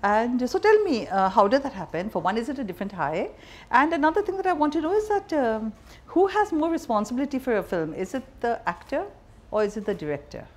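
A woman's voice: on the high side, big pitch swings, a little fast, darkish tone. A middle-aged woman with an Indian accent hosts an interview, asking direct, probing questions in a conversational style. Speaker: interview host. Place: indoor bar lounge set.

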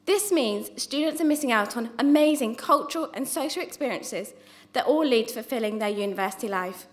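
A woman's voice: fairly high pitch, expressive, brisk. A young woman student, not from New Zealand, giving an earnest prepared speech from notes in a university lecture theatre into a stand microphone, with a few small restarts. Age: young adult. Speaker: student presenter.

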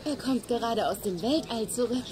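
An actress playing an affectionate, eager young wife in a dubbed sitcom, gushing and direct. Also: female voice, a little high, moderate pace, crowd noise, lightly acted. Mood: excited. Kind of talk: German-dubbed sitcom acting.

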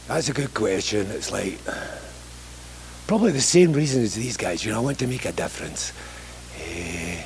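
A man's voice: mid-range voice, vocal fry, a little hiss or hum. A middle-aged man from Scotland with a Scottish accent, introducing himself as a mayoral candidate at a forum in a joking, rambling way, speaking into a handheld mic in a library meeting room. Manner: joking, rambling, self-deprecating. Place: library meeting room, standing before seated audience.

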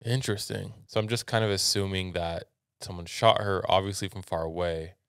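Low-voiced young American man, casual co-host. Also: fairly even intonation, fairly quick, a bit bright, smooth, no room sound, studio quality. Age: young adult. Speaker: podcast co-host. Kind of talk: casual chat. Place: small indoor podcast studio. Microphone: close studio mic on boom arm, headphones.

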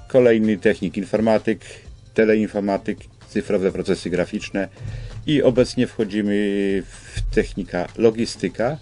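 An older man explains things as a guest in a radio interview, with halting phrasing, on a studio microphone. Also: fairly low pitch, warm tone. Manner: halting, hesitant phrasing with repeated starts. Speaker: school representative, radio guest. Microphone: studio microphone on boom arm.

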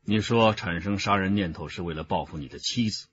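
A stern police detective in a radio crime drama. His deep voice is grave and probing as he questions a suspect. The recording is somewhat dull. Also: brisk, a bit bright.

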